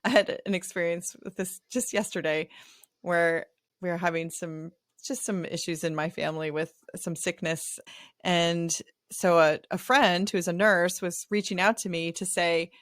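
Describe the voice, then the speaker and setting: A middle-aged woman hosting an interview podcast, telling stories in a chatty, animated way with playful asides. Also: on the low side, normal speed, no echo, studio quality. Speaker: podcast host. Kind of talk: conversational interview.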